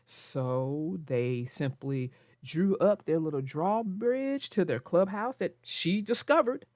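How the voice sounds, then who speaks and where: A woman narrating a children's book aloud and commenting on it, with expressive, emphatic, deliberate phrasing. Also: fairly low pitch, dry recording, studio quality. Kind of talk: expressive storybook read-aloud with informal commentary.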